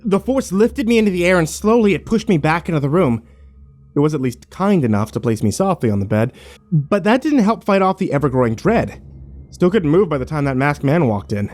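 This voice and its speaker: A man playing a grim, fearful survivor, narrating his ordeal in a brooding, measured first-person horror style. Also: on the high side, sing-song, a little mellow. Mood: dread.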